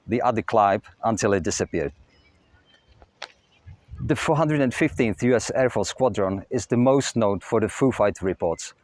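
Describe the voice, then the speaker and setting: A young man with a Czech accent, hosting his own channel and telling stories in a steady, matter-of-fact way. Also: on the low side, no room sound. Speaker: channel host. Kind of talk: scripted narration. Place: outdoors near a castle.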